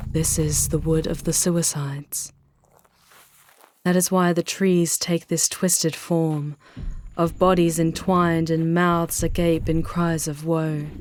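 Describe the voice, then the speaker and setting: A woman voicing a charming, protective female demon in an ASMR roleplay, speaking theatrically and intensely in hushed, drawn-out phrases that often slip toward a whisper. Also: deep voice, slight background noise. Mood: ominous, hushed.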